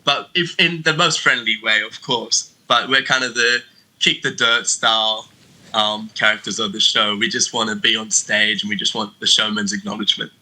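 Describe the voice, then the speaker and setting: A man's voice: on the low side, steady tone, moderate pace, bright, forceful tone, low-quality recording. A young Australian man in his twenties, a stage performer, answering interview questions over a video call in a friendly, loose way with many fillers. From Sydney, Australia. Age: 20s. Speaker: stage actor and dancer, guest.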